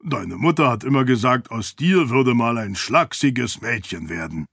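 A man voicing a huge, boisterous, affectionate middle-aged nobleman with a raspy, booming, throaty voice in an audiobook. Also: animated, measured pace, a bit bright, no echo, studio quality, full character voice. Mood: jovial.

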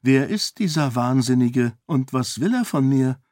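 A man voicing a witty, cheeky young noblewoman in an audiobook, playful and sharp-tongued. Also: mid-range voice, expressive, unhurried, dry recording, studio quality, close to an everyday voice. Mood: bewildered.

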